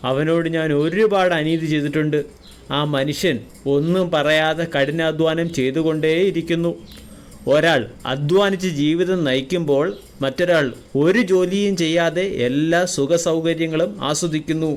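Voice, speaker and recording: Guy doing a rich bossy but fair landlord for a kids cartoon, smooth clear voice, bit high. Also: fairly even intonation, normal speed, natural voice. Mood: regretful. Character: wealthy male landlord, commanding but fair-minded. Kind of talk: cartoon moral story voice acting.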